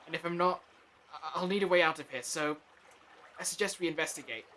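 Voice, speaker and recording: A man's voice: on the high side, fairly quick, bright, forceful tone, a little hiss or hum. A man acting in an audio drama as a dazed, apologetic, anxious figure who stammers and hesitates.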